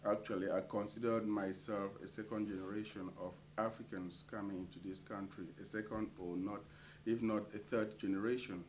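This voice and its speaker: A middle-aged man from Cameroon, a community association treasurer, answering interview questions with many hesitant pauses. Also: on the low side, steady tone, slight background noise, poor audio.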